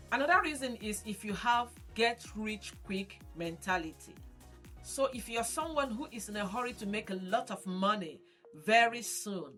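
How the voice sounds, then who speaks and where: A woman vlogger explaining relocation advice in a measured, advising tone. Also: medium pitch, fairly bright tone. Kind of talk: scripted explainer to camera.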